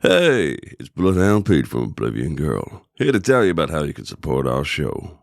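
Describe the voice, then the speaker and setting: An actor playing a gruff, grumpy old mercenary mentor in an audio drama, teasing and gravelly, with a fatherly streak. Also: very deep voice, wildly swooping pitch, normal speed, exaggerated voice. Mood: promotional. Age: older.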